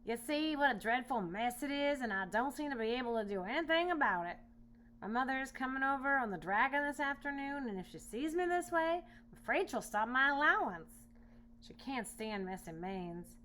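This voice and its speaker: A woman voicing a vain, hot-tempered young male lion in a children's storybook, loud, blustering and whiny about his mane. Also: high-pitched, animated, moderate pace, voice pushed up. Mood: upset, whining. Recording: noisy home recording with hum.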